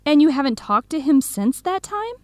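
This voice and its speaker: A woman's voice: sits high, sing-song, moderate pace, a little mellow, dry recording. An actress playing a candid, concerned young woman friend, questioning bluntly and expressively in an English-learning radio drama. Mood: curious.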